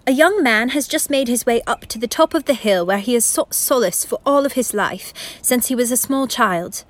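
A woman narrating a radio play as a formal, philosophical storyteller with a measured, lecturing manner. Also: fairly high pitch, expressive, natural voice. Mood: lyrical, calm. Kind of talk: scripted literary narration.

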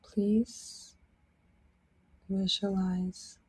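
Very slow, breathy woman guiding sleep meditation. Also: medium pitch, little pitch movement, poor audio. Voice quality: soft, breathy, partly whispered. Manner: soothing, gentle, short phrases with long pauses. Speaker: meditation guide. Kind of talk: guided sleep meditation, slow instructional narration.

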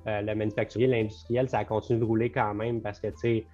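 A man's voice: fairly low pitch, flat delivery, fast talker, darkish tone, soft background music. A young man with a Quebec French accent, a business co-owner talking loosely and at length in a casual podcast conversation, dropping in English words. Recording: slightly dull lo-fi sound.

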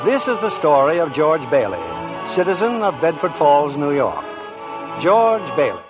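A man's voice: on the high side, big pitch swings, moderate pace. A man narrating in 1940s radio drama style. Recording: dull 1940s radio broadcast. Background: music.

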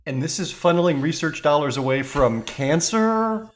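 A man's voice: on the high side, expressive, normal speed, a little hiss or hum. A man co-hosting a science podcast, skeptical and joking while he presents a study. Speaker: podcast co-host. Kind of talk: casual science podcast chat.

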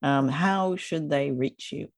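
A woman's voice: sits low, takes it slowly, dry recording. A woman hosting a podcast in a conversational interviewing style. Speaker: podcast host.

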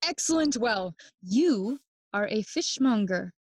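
A woman playing a sardonic young prince who feigns madness in a Shakespearean audio play, speaking cryptically and deliberately. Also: medium pitch, lively intonation, no echo. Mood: mocking, cryptic.

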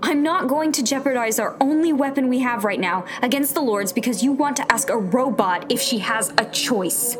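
A woman's voice: fairly high pitch, moderate pace, few pauses, natural voice. A woman playing a hard-headed, pragmatic rebel fighter in a sci-fi audio drama, with a firm way of talking. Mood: defensive, heated. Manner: firm, argumentative, pressing with questions. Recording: clear music bed behind.

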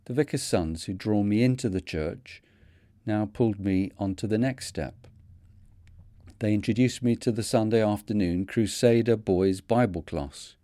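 A man in his fifties with a Manchester accent, hosting a podcast and narrating his own story in a measured, earnest way. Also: fairly low pitch, warm tone, no room sound, clean studio sound. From North of England. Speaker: podcast host. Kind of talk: scripted reflective narration.

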